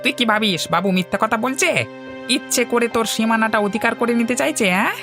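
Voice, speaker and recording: A man's voice: very high voice, expressive, quick pace. A man playing a rude, hot-headed village bully in a cartoon, with a curt, scornful, aggressive delivery. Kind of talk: Bengali cartoon story voice acting. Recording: music behind the voice.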